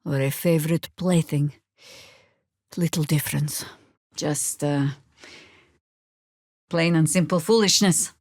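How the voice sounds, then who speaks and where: An actress playing a stern, battle-hardened older druid heroine in a fantasy video game, dry, sardonic and commanding in delivery. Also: female voice, sits low, steady tone, slow, pauses often, darkish tone, no echo. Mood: measured, weary.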